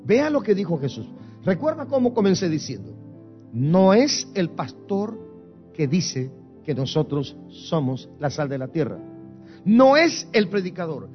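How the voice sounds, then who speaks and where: A man's voice: sits high, dull audio. A man from Guatemala preaching a sermon as pastor, with emphatic, rising and falling intensity. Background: soft music.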